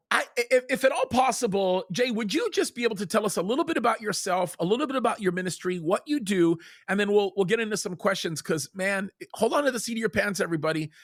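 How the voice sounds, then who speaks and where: A man hosting a ministry video, introducing his guest in an energetic, hyped-up, preacherly way. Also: high-pitched, dry recording, studio quality. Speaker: show host.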